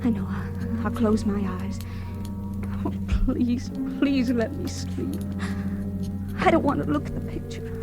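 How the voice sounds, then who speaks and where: An actress playing a fragile, panicked young woman in an old radio horror play, breathless, halting and repeating herself. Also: fairly high pitch. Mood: terrified. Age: young adult. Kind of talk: 1940s radio horror acting, monologue. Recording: old radio drama with music underneath.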